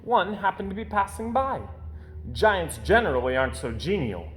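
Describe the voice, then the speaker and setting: A man reading a children's picture book aloud as an expressive storyteller, putting theatrical emphasis on key words. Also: high voice, moderate pace, slight background noise.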